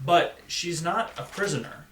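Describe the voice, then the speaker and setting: A man speaking in a thoughtful, halting and measured way as a panelist in a conversational podcast discussion. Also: a little high, fairly bright tone. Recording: echoey room, faint background noise.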